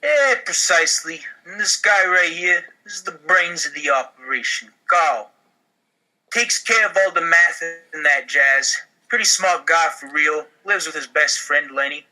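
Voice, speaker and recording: A man with a very bright, cutting voice, pitched a little high, reads a comedy script aloud. He is informal and wisecracking, slipping improvised asides between his lines. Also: unhurried, rough recording. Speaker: podcast cast member reading a script.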